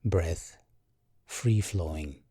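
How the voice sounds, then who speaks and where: A man guiding a meditation in a calm, gentle, measured way, leaving long pauses. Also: deep voice, breathy edge, no room sound, studio quality. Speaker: meditation guide. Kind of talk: guided meditation, slow and soothing.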